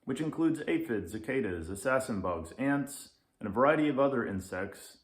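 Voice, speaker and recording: A man's voice: medium pitch, animated, normal speed, pitched above the performer's own voice, lightly acted. A man voicing a long-winded, technical know-it-all father in a children's storybook. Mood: pedantic. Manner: technical, wordy. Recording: echoey room.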